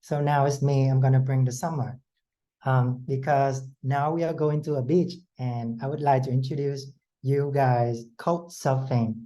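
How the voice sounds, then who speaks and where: A man's voice: medium pitch, fairly even intonation, warm tone. Nervous man. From Vietnam. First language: Vietnamese. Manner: nervous, with halting non-native phrasing. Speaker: educator, fellowship presenter. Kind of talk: online presentation. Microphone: video call.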